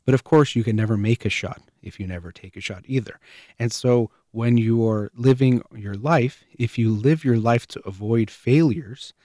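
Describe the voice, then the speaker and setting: A man hosting his own show, calmly explaining parenting ideas through everyday analogies. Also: medium pitch, normal speed, no echo, clean studio sound. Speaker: show host. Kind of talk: parenting advice monologue.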